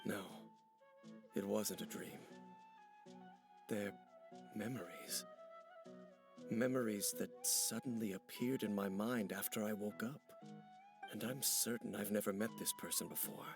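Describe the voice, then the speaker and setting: A man playing a brooding, serious investigator in a video game, speaking in a formal, deliberate and grave way. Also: on the low side, music in the background. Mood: troubled, solemn. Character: brooding, serious male investigator, measured and cryptic.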